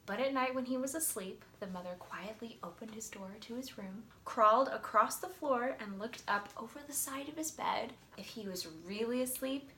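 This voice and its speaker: A woman reading a picture book aloud with expressive storytelling. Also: fairly high pitch, moderate pace, few pauses. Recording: roomy, echoey sound with faint noise.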